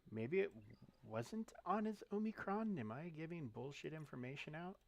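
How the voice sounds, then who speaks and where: A man streaming a mobile game, thinking aloud in a relaxed, muttering way as he clicks through menus. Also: on the high side, normal speed, creaky, lo-fi sound. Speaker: gaming streamer. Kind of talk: casual live-stream commentary while playing.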